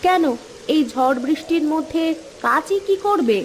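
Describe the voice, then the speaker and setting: Woman acting a concerned wife, high-pitched, questioning, caring, cartoon dialogue, light rain noise. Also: expressive, moderate pace. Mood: concerned, insistent. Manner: insistent, concerned. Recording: faint rain noise behind voice.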